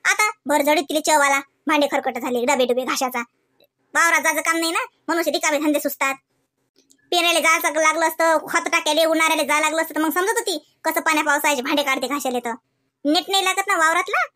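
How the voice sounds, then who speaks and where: A woman plays a grumbling old village mother-in-law for a comedy cartoon. The voice is high and races along in a rural Varhadi Marathi accent. The manner is scolding and full of colloquial complaint. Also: fairly even intonation, fast talker, bright, forceful tone. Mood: scornful grumbling.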